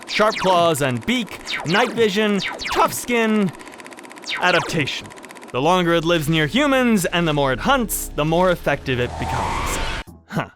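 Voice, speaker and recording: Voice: medium pitch, noisy background. A host narrating a casual comedic explainer in a sarcastic, mock-dramatic way, swearing freely. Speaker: video host. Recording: background music under the voice. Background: music.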